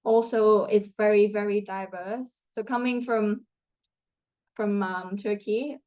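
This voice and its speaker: Young thai woman student, chatty, lots of um and like, mid pitch. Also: fairly even intonation, takes it slowly, darkish tone. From Thailand. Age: young adult. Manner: chatty, many fillers and restarts, laughs often. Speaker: student guest. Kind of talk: conversational interview answers.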